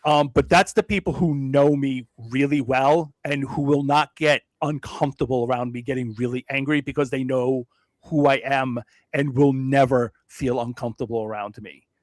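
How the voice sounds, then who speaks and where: A man from Brooklyn talking as a podcast guest, measured and self-deprecating, with frequent hedges and restarts. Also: mid-range voice, fairly even intonation, no echo. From Brooklyn, New York. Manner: frequent hedging fillers and restarts. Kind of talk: casual interview conversation.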